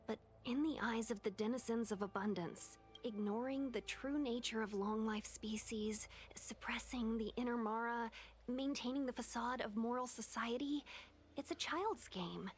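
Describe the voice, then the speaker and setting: An actress playing an ominous, philosophical woman in a fantasy game, speaking in a measured, formal way. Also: on the high side, a little hiss or hum, close to an everyday voice. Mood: coolly musing. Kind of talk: scripted video game voice acting.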